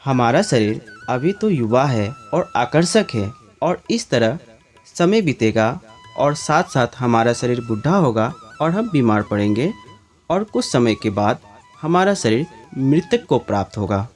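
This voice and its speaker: A man narrates as a serene monk teacher from a moral storybook. He preaches in a measured way at a middle pitch, with varied intonation and music behind. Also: big pitch swings. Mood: calm, teaching. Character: serene wise male monk teacher, calmly instructive. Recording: background music under the voice.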